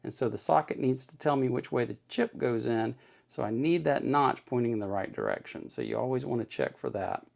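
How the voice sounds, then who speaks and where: A man with a mid-pitched voice and plenty of gravelly fry hosts an informal how-to. He talks in a folksy, plain-spoken way, reassuring the learner and going back over his points. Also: sing-song, brisk, a little mellow. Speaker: host of an electronics kit-building tutorial. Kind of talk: informal hands-on tutorial talk.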